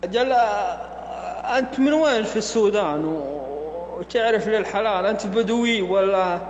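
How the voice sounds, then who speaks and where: A man's voice: high-pitched, lively intonation, normal speed, echoey room, long reverb tail, muffled recording, a little hiss or hum. A man putting on a Saudi Bedouin accent to play a bossy, teasing Saudi man in a prank voice message. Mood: gruff questioning. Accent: put-on Saudi Bedouin Arabic. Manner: teasing, questioning, folksy.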